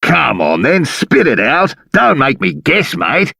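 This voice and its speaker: Bright, creaky Australian jailer. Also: male voice, a little high, huge pitch swings, takes it slowly, no room sound, studio quality, exaggerated voice. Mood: bored, grumbling. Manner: coarse, slangy, menacing. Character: bullying, sneering Australian prison guard. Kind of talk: video game voice acting.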